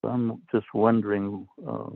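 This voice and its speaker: A man questions an official in a slow, deliberate and stern way. His voice comes through dry and tinny, as over a phone line. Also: lowish voice, little pitch movement, vocal fry, dry recording. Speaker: council delegate. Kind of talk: formal committee questioning.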